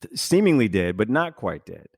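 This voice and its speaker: A man hosting a casual podcast, recapping a story in a halting, think-aloud way and paraphrasing bits of dialogue. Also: fairly low pitch, big pitch swings, vocal fry, darkish tone, husky, no room sound, clean studio sound. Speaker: podcast host.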